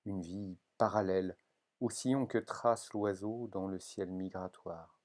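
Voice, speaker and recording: A man with a moderately low voice reads poetry solemnly. He takes his time, very slow and deliberate, setting each phrase down with measured care. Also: fairly even intonation, darkish tone. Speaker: poetry reader.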